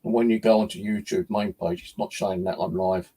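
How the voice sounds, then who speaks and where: A man's voice: low voice, fairly even intonation, a little fast, mellow, breathy edge. A British man chatting casually on a livestream as its host, rambling and hesitant in an informal way.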